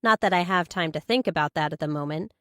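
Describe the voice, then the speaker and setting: A woman's voice: on the low side, quick pace, bright, forceful tone, no room sound, clean studio sound. A woman reading first-person fiction as an audiobook narrator.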